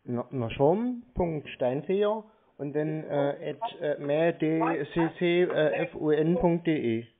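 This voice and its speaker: A man's voice: on the high side, takes it slowly, few pauses. Dawdling man on a tinny phone line. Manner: dawdling, feigned cluelessness. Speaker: prank caller toying with phone scammers. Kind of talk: slow, hesitant phone conversation, spelling things out.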